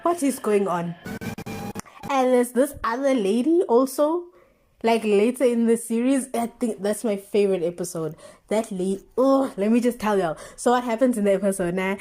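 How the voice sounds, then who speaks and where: A young woman with a South African accent chatting casually, retelling stories in an animated, slangy way with exclamations and rhetorical questions. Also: mid-range voice. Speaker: vlogger. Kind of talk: casual chatty storytelling commentary.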